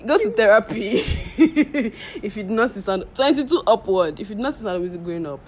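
A woman's voice: mid-range voice, animated, a little fast, darkish tone, slight background noise. A woman, co-hosting a podcast in playful, teasing casual chat. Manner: playful, teasing, bursts into song and laughter. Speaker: podcast co-host.

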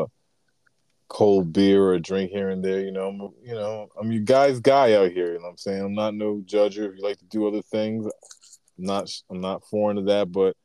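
A man with a deep voice talking as a podcast guest, a sarcastic jokester with a laid-back, slangy way of speaking. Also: warm tone, clear, resonant tone, no room sound. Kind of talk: casual conversation.

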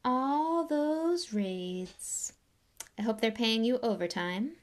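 A woman voicing a cold, haughty aristocratic wizard man, speaking quietly with contempt. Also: a little high, lively intonation, takes it slowly, voice pushed up. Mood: disdainful.

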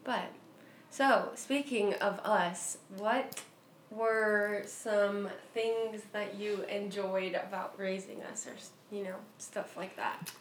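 A young adult woman hosting a podcast, easygoing and quick to laugh in casual chat. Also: mid-range voice. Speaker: podcast host. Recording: roomy echo with faint noise.

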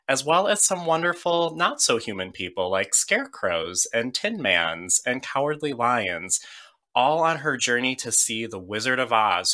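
A man's voice: medium pitch, pushed and bright. A man hosting a podcast, giving a playful, upbeat introduction. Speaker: podcast host. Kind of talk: scripted introduction, casual chat.

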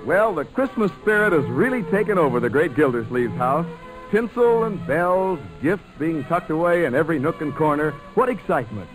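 A man's voice: fairly high pitch, sing-song, normal speed, over music, rough recording. A man announcing in polished, upbeat 1940s radio style, selling and narrating. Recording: old radio broadcast transcription.

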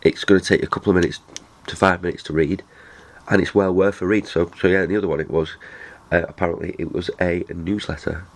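A man with a very deep voice hosts a casual podcast. His voice is nasal from a cold. He rambles and restarts his sentences. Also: fairly even intonation, fast. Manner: rambling, chatty, many restarts. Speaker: podcast host. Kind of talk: casual chat.

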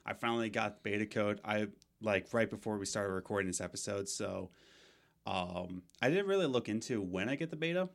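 A man's voice: lowish voice, fairly quick, gravelly. A young man co-hosting a casual gaming podcast, rambling with lots of fillers and restarts. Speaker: podcast co-host.

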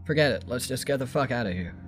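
A shaky young man stumbles over his words, his voice bouncing up and down, voiced by a man. He sits at a middling pitch. Light music sits in the background. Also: expressive, quick pace, close to an everyday voice. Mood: pained. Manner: stammering, shaky. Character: nervous young man, best friend.

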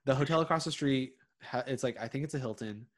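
A man from Connecticut in casual conversation, his voice sitting at an ordinary middle pitch. He rambles, piling up fillers and restarting his sentences as he talks. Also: fast. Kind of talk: casual chat.

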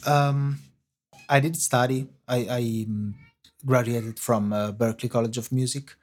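A man with an Italian accent and Italian as his first language, a guest on a podcast interview, answering in halting English as he searches for words. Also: mid-range voice, fairly even intonation. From Italy. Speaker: guest composer.

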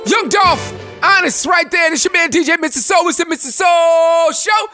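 A man hosting a hip-hop radio show, mixing hype shout-outs with reflective commentary full of loose fillers. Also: very high voice, moderate pace, music in the background.

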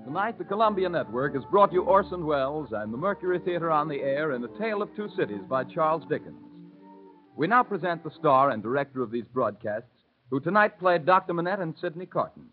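Old radio announcer guy, formal scripted read, phone-like recording w/ music clearly playing under him. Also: fairly high pitch, animated. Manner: formal, measured enunciation.

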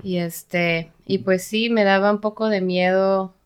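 Animated Mexican woman telling stories. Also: medium pitch, measured pace, smooth. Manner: animated storyteller, laughs often. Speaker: podcast guest. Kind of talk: casual podcast chat.

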